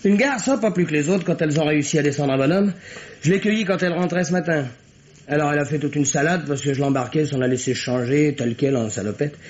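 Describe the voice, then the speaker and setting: An actor playing a cheeky, wry police deputy in a vintage French radio drama, talking in rambling slang. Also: male voice, fairly high pitch, quick pace, a little hiss or hum, close to an everyday voice. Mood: wry, chatty. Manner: colloquial, teasing, rambling. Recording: dull vintage radio recording.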